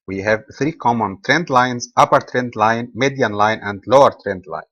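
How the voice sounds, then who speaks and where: A man from Egypt hosts an online trading webinar, reading his lesson at a steady, even pace with slightly non-native phrasing. The recording is dry and somewhat dull, with a mid-range voice. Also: medium pitch, normal speed, dry recording. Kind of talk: scripted webinar lecture.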